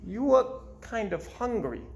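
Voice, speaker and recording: A man voicing an eager, cheerful little male goldfish from a storybook, excitable and longing for a pet. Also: sits high, slow pace, vocal fry, echoey room, a little hiss or hum, pitched above the performer's own voice, heavily acted. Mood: friendly.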